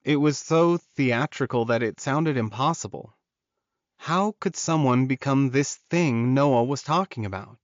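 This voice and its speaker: A man narrates a fantasy romance audiobook at a medium pitch. The recording is dry and close, with a slightly dull top end. He reads scripted prose as a storyteller. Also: a little slow, no echo.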